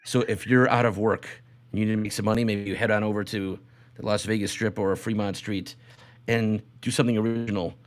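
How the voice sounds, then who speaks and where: A man hosting a casual, comedic podcast, talking in a halting way with repeated and restarted phrases. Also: lowish voice, steady tone. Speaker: show host.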